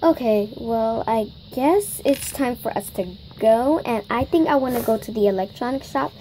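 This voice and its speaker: A woman's voice: a little high, normal speed, warm tone, slight background noise. A girl improvising a toy shopping scene, chatty and bossy as she runs the story. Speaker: toy video maker. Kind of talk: improvised toy play-acting.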